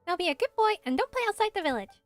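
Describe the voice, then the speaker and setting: A woman voicing a small, excitable, chatty childlike fairy companion for a game. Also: extremely high pitch, rapid-fire, dry recording, soft background music. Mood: excited.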